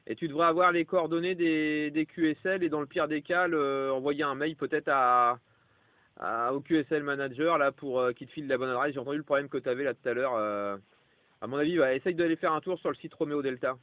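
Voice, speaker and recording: A man's voice: fairly high pitch, fairly quick, no echo. A man with a French accent chatting casually as a radio operator, in colloquial phrases dotted with interjections. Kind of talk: casual two-way radio chat. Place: parked vehicle. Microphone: radio microphone. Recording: dry, phone-like two-way radio transmission.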